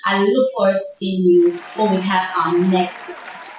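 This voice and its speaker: A woman's voice: mid-range voice, steady tone, normal speed, a faint crowd, slight background noise. A woman hosting a casual group discussion. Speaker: discussion host. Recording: echoey room, distant mic.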